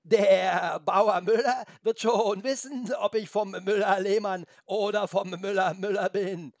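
A grumpy storybook nanny goat, acted out by a man, bleating in a very high voice. She drags her words out slowly and complains defiantly. She is muddled and will not budge. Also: steady tone, dry recording, studio quality. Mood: grumbling. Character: stubborn, muddled, grumpy female talking goat. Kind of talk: children's storybook character voice.

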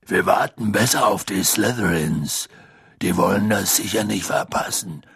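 A man voicing a gruff, proud giant gamekeeper who talks in halting, plain speech. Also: lowish voice, a bit bright, dry recording, clean studio sound, exaggerated voice.

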